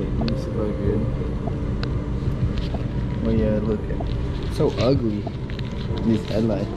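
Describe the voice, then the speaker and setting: A man's voice: lowish voice, very noisy. A young man losing his voice from being sick, talking casually and swearing as he films himself on an action camera while working on a car. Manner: loose, chatty, mumbles while working. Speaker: car project vlogger. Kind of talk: casual self-filmed vlog, swearing. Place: inside a parked car, then a home garage. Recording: loud background music over camera audio. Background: background beat music.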